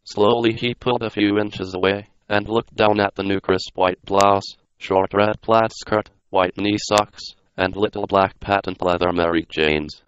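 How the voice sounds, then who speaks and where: A synthetic male computer voice reading a story as narrator in a flat, mechanical, non-dramatic way. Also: on the low side, unhurried, hardly any pauses, clean tone, lo-fi sound.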